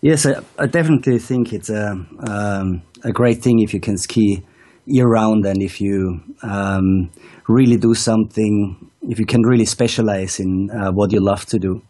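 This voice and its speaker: A man with a low, mellow voice calls in to an interview over an online link. He tells his story steadily and methodically, with frequent "um"s between clauses. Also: moderate pace. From Austria. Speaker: ski school director, guest. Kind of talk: casual interview answers. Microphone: calling in over an online video call.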